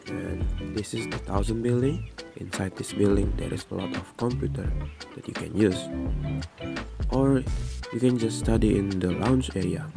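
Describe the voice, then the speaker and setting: A young man from Indonesia, a student giving a friendly, chatty campus tour narration and sometimes pausing to find words. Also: lowish voice, vocal fry. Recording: loud background music over the voice.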